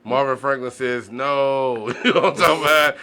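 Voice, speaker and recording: A man's voice: medium pitch, normal speed, pushed and bright, clear, resonant tone. A man hosting a casual podcast discussion, slangy and repetitive, often checking that people follow him. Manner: slangy, repeats himself, checks listeners follow. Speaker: podcast host. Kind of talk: casual opinionated group chat.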